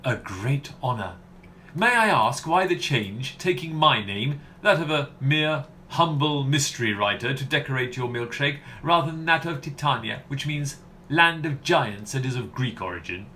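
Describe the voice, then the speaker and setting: A man's voice: mid-range voice, lively intonation, normal speed, sharp tone, a little hiss or hum. A man playing a pompous, self-regarding mystery novelist in a theatrical, condescending radio comedy manner. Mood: grandly self-important.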